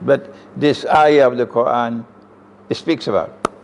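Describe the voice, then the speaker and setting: A man's voice: mid-range voice, steady tone, a little slow, gravelly. An older man from Trinidad with a Trinidadian accent, giving an emphatic religious lecture on a stand mic, with rhetorical questions to his audience. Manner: emphatic, rhetorical questions, sweeping hand gestures. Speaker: Islamic lecturer. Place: room with green chairs, indoors. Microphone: stand mic close in front.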